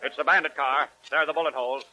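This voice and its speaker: An eager deputy acted by a man in a vintage 1940s radio crime drama, high in pitch. He reports in excited, clipped phrases through clear recording noise. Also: rapid-fire, lightly acted. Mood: alert, excited. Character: eager, alert, obedient male deputy lawman.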